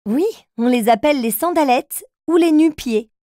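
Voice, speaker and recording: A woman playing an encouraging grown-up who puts riddles to small children in a playful, clearly spoken way. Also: high-pitched, moderate pace, mellow, no room sound, clean studio sound, lightly acted. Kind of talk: children's riddle game narration.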